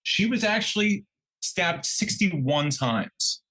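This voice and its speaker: A man co-hosting a podcast, adding true crime details in a conversational, halting way. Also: high voice, animated, sharp tone, low-quality recording. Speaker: podcast co-host.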